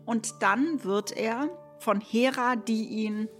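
A woman's voice: a little high, takes it slowly, over music. A woman giving casual, conversational commentary on a play, explaining in a reflective and wry manner.

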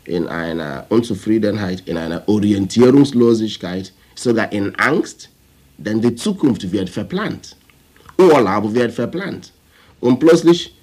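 A man's voice: medium pitch, lively intonation, measured pace, darkish tone. A man from Nigeria with a Nigerian accent and Igbo as his first language, speaking German as a theology professor in a feature interview, reflective and searching for words. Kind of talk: recorded interview clip in a radio feature.

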